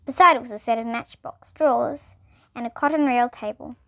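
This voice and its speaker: A woman's voice: on the high side, normal speed, pushed and bright. A young girl reading a children's bedtime story aloud, expressively and with small stumbles. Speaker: storybook reader.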